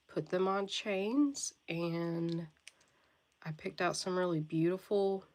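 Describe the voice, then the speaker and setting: Stuffy woman hosting a live sale, talks slow, keeps clearing her throat, says hi to everyone. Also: fairly low pitch. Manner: friendly greetings to viewers by name; occasional coughing and throat clearing. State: stuffy nose from a cold. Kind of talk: casual livestream chat.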